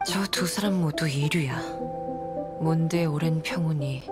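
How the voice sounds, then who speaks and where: Cool, detached nun, low and clipped, heavy music. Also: female voice, unhurried. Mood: aloof. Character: aloof young female nun, cool, dismissive. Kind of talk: game voice acting. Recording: with loud game music behind.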